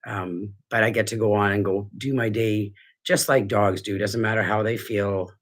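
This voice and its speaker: Stuffy-nosed husky man, earnest home talk. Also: on the low side, fairly even intonation, normal speed, a bit bright. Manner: earnest, rambling with restarts. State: stuffy, congested. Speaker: dog trainer hosting a weekly show. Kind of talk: casual talk to camera. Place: living room at home.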